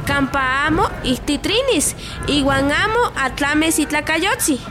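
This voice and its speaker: Village woman, high-pitched, instructive, radio soap with music bed. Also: lively intonation, slow pace. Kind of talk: radio drama acting in Nahuatl.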